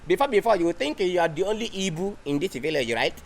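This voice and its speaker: A man's voice: high voice, lively intonation, fast. A man playing a teasing, boastful chief showing off his bride-to-be. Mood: mocking. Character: mocking older chief with a new wife.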